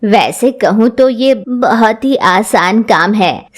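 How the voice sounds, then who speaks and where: A woman's voice: fairly high pitch, lively intonation, slow pace. A woman voicing a friendly, helpful female crow neighbour with a casual, reassuring manner in a cartoon story. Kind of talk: Hindi moral cartoon story acting.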